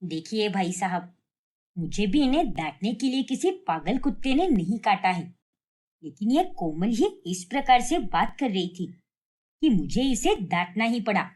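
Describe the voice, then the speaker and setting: Woman voicing a sharp, scolding Indian mother-in-law. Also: medium pitch, very animated, moderate pace, pitched below the performer's own voice. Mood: angry, scolding. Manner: sharp, nagging, indignant. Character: short-tempered, scolding middle-aged Indian mother-in-law. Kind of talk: cartoon family drama story.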